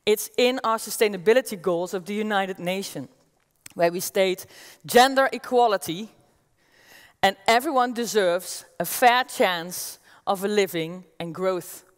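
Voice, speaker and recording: A woman in her forties with a Dutch accent speaks to a large audience from an auditorium stage, lively and moving about as she presents. Her voice is of ordinary middle pitch, picked up on a headset mic, and a long reverb trails behind it from the big hall. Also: unhurried, smooth. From Netherlands. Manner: energetic, gestures as she walks the stage. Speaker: keynote speaker. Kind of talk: conference keynote talk.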